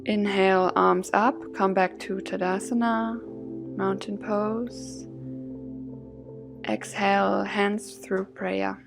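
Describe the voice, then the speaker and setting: A woman guides a yoga and meditation class at a slow, unhurried pace. Her medium-pitched voice has a creaky edge and a soothing, measured delivery. Soft music plays behind her. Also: flat delivery. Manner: soothing, gently measured phrasing with breath cues.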